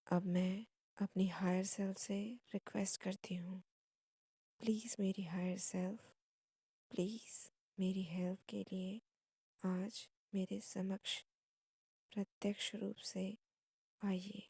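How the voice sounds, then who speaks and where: A woman with an Indian accent guiding a meditation in a hushed, breathy manner, in short phrases with long pauses. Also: medium pitch, little pitch movement, no room sound. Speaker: meditation guide. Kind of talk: guided meditation.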